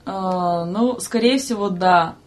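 A woman's voice: mid-range voice, takes it slowly. A woman speaks as a guest on a talk show, in a casual, joking way. Her speech is informal and conversational, with faint noise in the recording.

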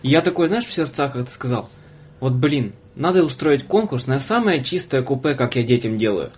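A man's voice: mid-range voice, lively intonation, brisk, husky. Teasing, slangy young male podcast host. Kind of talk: casual interview chat.

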